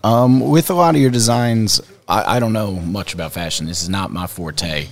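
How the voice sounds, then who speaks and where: Low-voiced laid-back male interviewer. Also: moderate pace, gravelly. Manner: laid-back, joking, stumbles on words. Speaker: correspondent interviewer. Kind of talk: casual interview questions.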